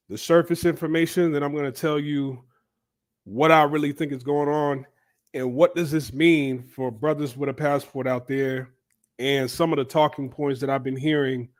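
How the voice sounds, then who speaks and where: A man with a stuffy cold voice hosting a loose, casual commentary video, thinking aloud with fillers and repeats. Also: mid-range voice. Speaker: commentary channel host.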